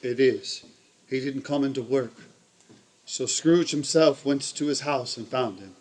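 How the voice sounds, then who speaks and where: A man playing a troubled, compassionate older father in a radio play, speaking gravely and hesitantly. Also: medium pitch, measured pace, darkish tone, natural voice, rough recording. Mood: sombre. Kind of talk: live-audience radio play acting.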